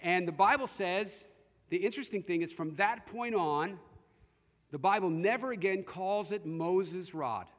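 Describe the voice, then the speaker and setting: A man delivers a sermon in a high, forceful voice that rings through a long hall reverb. He tells scripture stories conversationally and speaks straight to his listeners. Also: unhurried. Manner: conversational, addresses listeners directly. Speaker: preacher. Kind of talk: sermon with storytelling and scripture reading.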